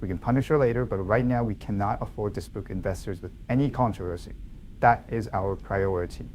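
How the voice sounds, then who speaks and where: A man's voice: on the low side, a little fast, darkish tone, slight background noise, close to an everyday voice. A man playing a stern, curt, calculating male company executive in dramatic dialogue. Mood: calculating, firm.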